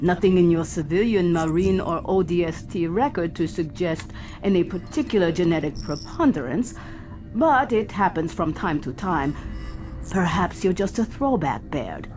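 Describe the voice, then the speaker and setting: A woman's voice: fairly low pitch, expressive, moderate pace, over music, natural voice. A woman playing a composed female shipboard artificial intelligence in a sci-fi drama, dry, precise and clinical. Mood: wry, reassuring. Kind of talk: science fiction audio drama acting.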